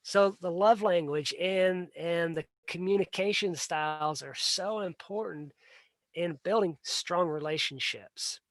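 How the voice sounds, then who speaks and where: Man, livestream host, high-ish voice, dry close sound, earnest and plain-spoken, stumbles and repeats words. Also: measured pace, no echo. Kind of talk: casual solo livestream talk.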